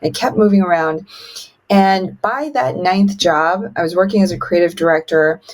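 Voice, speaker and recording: Animated woman telling her life story. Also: moderate pace. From China. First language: Mandarin. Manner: animated storyteller, acts out quotes with big pitch jumps. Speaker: guest. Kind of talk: podcast interview storytelling.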